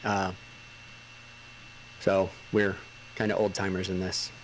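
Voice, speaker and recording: A man in his forties hosting a casual chat, answering with quick, easygoing affirmations. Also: low voice, flat delivery, a little hiss or hum, low-quality recording. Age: 40s. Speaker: host.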